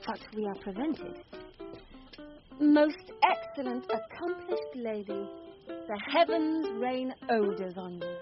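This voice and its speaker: A woman playing a young woman disguised as a quick-witted page in Shakespearean radio drama, poised and articulate. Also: high voice, expressive, over music. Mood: courtly, deferential. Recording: dull radio recording, faint noise.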